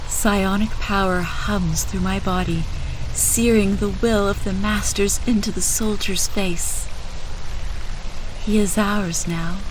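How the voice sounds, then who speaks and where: A woman playing a fanatical, devout servant of a psionic master, telling the tale with reverent, deliberate intensity in a dramatic first-person story. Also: fairly low pitch, noisy background. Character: fanatical mind-controlled female psionic servant, devoutly worshipping her master.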